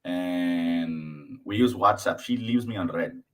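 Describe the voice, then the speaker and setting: Guest comic, man from southern India, thirties, very low voice, halting delivery full of restarts and fillers. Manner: acts out his mother's voice in anecdotes. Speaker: stand-up comedian, guest. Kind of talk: casual podcast conversation.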